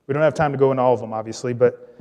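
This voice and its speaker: A man preaching a sermon, telling a story in a relaxed conversational way with small jokes. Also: medium pitch, racing. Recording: reverberant hall.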